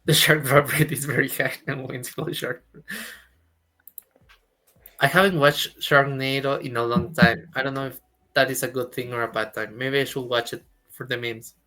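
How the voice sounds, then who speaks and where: A man co-hosting a live stream, reading viewer questions aloud and reacting excitedly. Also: medium pitch, moderate pace. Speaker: co-host community manager. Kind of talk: casual live stream chat.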